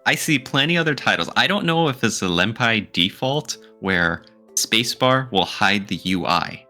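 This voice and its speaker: A man co-hosting a podcast, talking casually and jokingly in an animated, teasing, argumentative way. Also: mid-range voice, moderate pace, faint music behind. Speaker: podcast co-host. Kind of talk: casual, joking opinion talk.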